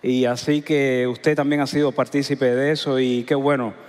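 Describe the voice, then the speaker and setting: A middle-aged man with a Cuban accent, preaching a sermon in a conversational way with frequent filler pauses. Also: mid-range voice, flat delivery, a little mellow. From Cuba. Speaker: pastor. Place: large church hall. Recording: long hall reverb.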